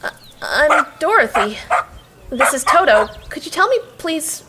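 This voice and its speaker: A woman's voice: sits high, big pitch swings, measured pace, very noisy. A woman playing a bewildered, earnest young girl in an audio drama, flustered and stammering.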